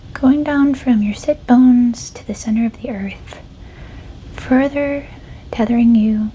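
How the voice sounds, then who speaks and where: A woman leading a guided meditation in a soft-spoken ASMR style, gentle and unhurried, with a breathy voice that often drops close to a whisper. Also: on the high side, a little hiss or hum, rough recording. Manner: gentle, unhurried, soothing instruction.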